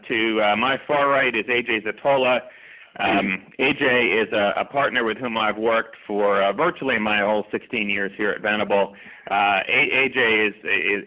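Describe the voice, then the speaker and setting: A man's voice: fairly low pitch, highs cut off. A man moderating a law firm seminar in a conference room, speaking with frequent ums and uhs. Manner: halting, many ums and uhs. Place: conference room with a live audience.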